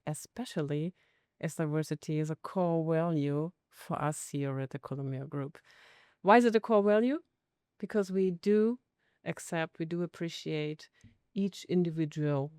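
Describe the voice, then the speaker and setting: A woman in her fifties hosting a company podcast, speaking in a measured way with pauses and fillers. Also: deep voice, darkish tone, clean tone, no echo, studio quality. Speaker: podcast host. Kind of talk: corporate podcast conversation.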